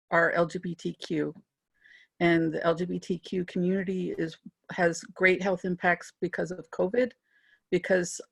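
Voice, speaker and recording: Very creaky, low-pitched mature woman. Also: no echo. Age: middle-aged or older. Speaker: panelist, AI practitioner. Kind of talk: semi-formal spoken self-introduction on a panel. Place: remote video call.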